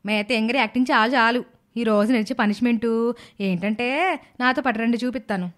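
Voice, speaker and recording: A stern middle-aged aunt , played by a woman, in a cartoon moral story. She scolds with measured, mock-sweet sarcasm and hands out threats like a boss of the house. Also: fairly high pitch, lightly acted. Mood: mocking, commanding.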